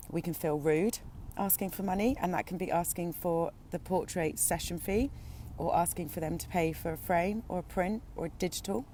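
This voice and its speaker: A woman's voice: low-pitched, a little hiss or hum. A woman who is an equine photographer, giving a chatty, encouraging impromptu talk to her group, a little stuffy from a cold. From Britain. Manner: chatty, encouraging, relaxed with filler ums. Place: out at lunch.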